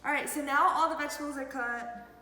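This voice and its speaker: Woman, fairly high voice, casual chatty cooking tutorial, lots of fillers, roomy echo. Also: steady tone, quick pace, pushed and bright. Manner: chatty, loose, many fillers. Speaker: cooking vlogger. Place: home kitchen. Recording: echoey room with background music.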